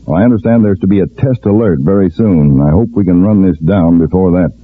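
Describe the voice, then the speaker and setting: An actor playing a composed chief of counterspies in 1940s radio drama, measured and authoritative. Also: male voice, low voice, lightly acted. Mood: serious. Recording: noisy, tinny old radio recording.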